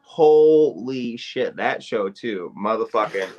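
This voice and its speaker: A man's voice: lowish voice, animated, takes it slowly, dull audio. Profane, rambling podcast guy. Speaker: podcast co-host. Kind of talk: casual podcast chat.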